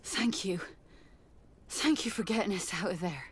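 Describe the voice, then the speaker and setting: A woman's voice: mid-range voice, measured pace, fairly bright tone, husky. A woman voicing an uneasy freed sailor in a video game, earnest and grave. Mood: grateful, uneasy. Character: grateful, uneasy female sailor, freed captive.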